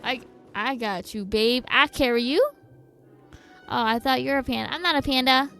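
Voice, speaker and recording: Bright, high-voiced woman game streamer. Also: moderate pace, clear, resonant tone. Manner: playful, teasing, giggly. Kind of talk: casual live stream chat while playing. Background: game music.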